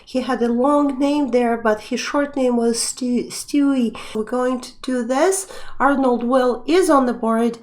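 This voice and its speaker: A woman's voice: high voice, measured pace, hardly any pauses. A young woman hosting a live stream quiz, chatting casually as she reads out questions and viewer names. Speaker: live stream trivia host.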